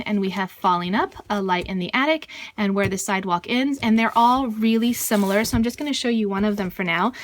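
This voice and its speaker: A middle-aged woman presents books in a casual homeschool vlog. Her voice sits at an ordinary mid pitch as she talks informally to viewers. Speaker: homeschooling mother presenting book reviews. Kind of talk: casual vlog book review.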